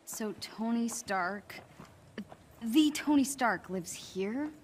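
A high, youthful female voice playing a determined teenage girl in a game cutscene. She sounds earnest and pleading, with faint ambient noise behind her. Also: expressive, takes it slowly. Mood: incredulous. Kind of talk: video game cutscene acting.

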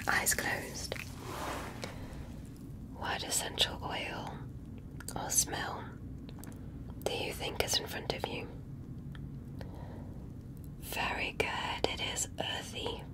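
Woman doing asmr alien doctor thing, super slow breathy whisper, polite and careful. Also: low-quality recording. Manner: slow, careful, gently instructing, formal wording. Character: curious, courteous alien doctor examining a human. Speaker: ASMR performer playing an examiner. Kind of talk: whispered ASMR medical roleplay.

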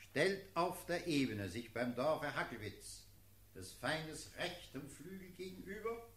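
A field marshal in an old classic radio play, declaiming orders, very up and down, formal. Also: male voice, fairly high pitch, a bit bright. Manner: declamatory, ceremonious military dictation. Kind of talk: classical German radio drama acting.

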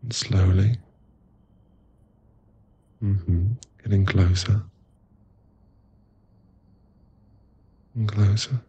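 A man playing an affectionate, teasing werewolf boyfriend in an intimate ASMR roleplay, speaking in a soft, coaxing, halting way with a husky, gravelly voice. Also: lowish voice, fairly even intonation, heavily acted. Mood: playful, teasing. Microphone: close microphone.

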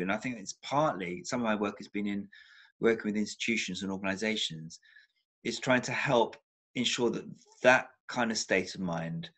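An older man with a fairly low voice talks through a webcam on a video call from a room at home. He thinks aloud, circling back and repeating words for emphasis as he builds his point. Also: a bit bright. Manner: thoughtful, many restarts, repeats words for emphasis. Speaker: psychotherapist guest. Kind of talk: reflective video-call interview. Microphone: laptop webcam on a video call.

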